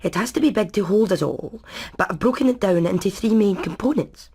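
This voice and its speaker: Brainy hen engineer for a cartoon game, mid pitch, explains stuff step by step. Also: female voice, lively intonation, fairly quick, warm tone, husky. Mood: methodical, keen. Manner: methodical, matter-of-fact. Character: practical, clever female engineer hen explaining plans. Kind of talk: animated video game voice acting.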